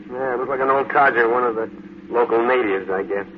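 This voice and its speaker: An actor playing a self-assured, practical, skeptical American husband in a vintage radio drama. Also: male voice, mid-range voice, brisk, close to an everyday voice. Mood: helpful, confident. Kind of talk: 1950s radio drama acting. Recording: tinny vintage radio, faint background noise.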